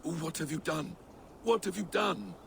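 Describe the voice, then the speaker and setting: An actor playing a sly, probing Norse trickster god in a video game, creaky-voiced and insinuating. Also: male voice, on the high side, a little slow, fairly bright tone, a little hiss or hum, full character voice. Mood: alarmed, accusing. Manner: insinuating, smooth-tongued, questioning. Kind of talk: dramatic video game acting.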